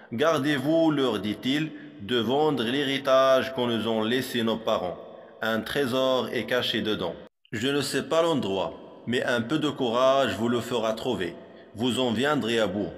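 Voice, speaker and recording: A man reciting poetry for a primary school lesson in a measured, didactic manner, with a long hall-like reverb on his voice. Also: mid-range voice.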